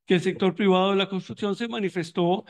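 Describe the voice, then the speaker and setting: Hombre costarricense de voz aguda, reflexivo. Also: male voice, high voice, steady tone, fast talker. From Costa Rica. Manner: thoughtful, many restarts and fillers, reflective. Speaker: panelist on migration in education; university educator running tutoring programs. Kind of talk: academic conference talk.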